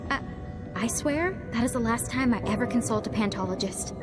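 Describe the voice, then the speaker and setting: A woman plays a sensible teenage girl in a fantasy game. Her voice is high and youthful, her manner wary and easily exasperated. Loud music runs behind her. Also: a little fast, close to an everyday voice. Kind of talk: video game cutscene acting.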